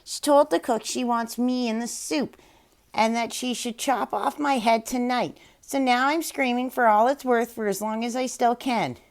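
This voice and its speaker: A woman's voice: fairly high pitch, moderate pace, voice pushed up, lightly acted. A woman voicing a flustered, dramatic male farmyard rooster in a storybook, fretful and theatrical.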